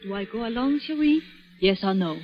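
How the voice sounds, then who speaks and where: A coquettish French party girl voiced by an actress in a vintage radio crime play. Her voice sits high, with a French accent and teasing, excitable broken English. The old broadcast recording is dull and narrow. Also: brisk, faint music behind, close to an everyday voice. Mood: coy. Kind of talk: 1940s radio acting.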